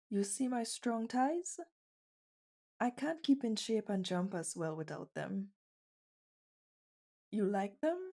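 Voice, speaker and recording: A woman's voice: on the high side, dark tone, exaggerated voice. A woman playing a shy, skittish stray bunny girl in an ASMR roleplay, speaking in a hesitant, easily flustered way. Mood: bashful, hesitant.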